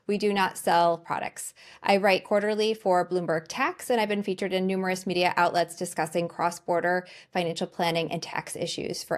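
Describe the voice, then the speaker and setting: American woman hosting an informational webinar. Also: fairly low pitch. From United States. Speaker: webinar host, financial planner. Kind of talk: webinar presentation.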